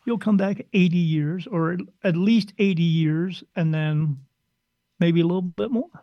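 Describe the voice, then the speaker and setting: A man's voice: high-pitched, unhurried, no room sound, highs cut off. A man who works as a spirit medium, talking casually on a podcast in a blunt, rambling way and slipping into other people's voices.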